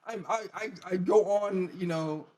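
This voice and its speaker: Casting: American male podcast co-host, notably high voice, casual, opinionated, leans on repetition. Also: measured pace, a little mellow. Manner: opinionated, repeats phrases for emphasis. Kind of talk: casual chat.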